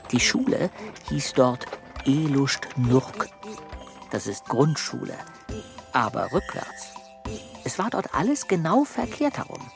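Animated, creaky-voiced storyteller. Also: male voice, a little high, full character voice. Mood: alarmed, wry. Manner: expressive, dramatic storytelling. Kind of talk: scripted narration in a children's radio play.

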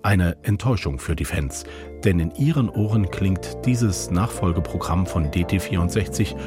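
A man's voice: low voice, expressive, moderate pace. A man reading scripted feature narration as a radio reporter. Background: music.

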